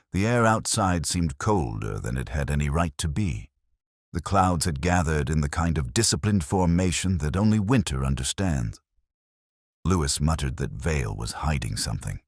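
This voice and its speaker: A man reading scripted first-person detective fiction in a measured, literary, brooding manner. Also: rumbling low, no room sound, studio quality. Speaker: narrator.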